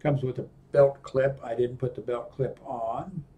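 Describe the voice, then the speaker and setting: An older man with an American accent giving an unscripted product walkthrough in a halting, rambling way that often trails off. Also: mid-range voice, steady tone, warm tone. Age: 60s or older. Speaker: presenter of a radio equipment review. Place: small indoor room at a desk.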